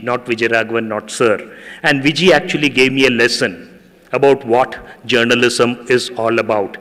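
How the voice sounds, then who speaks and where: A middle-aged man whose first language is Tamil, giving an earnest, measured speech as a guest speaker at a ceremony. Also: medium pitch, pushed and bright. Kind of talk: formal speech at an event. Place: hall at a public ceremony. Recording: echoey hall with long reverb.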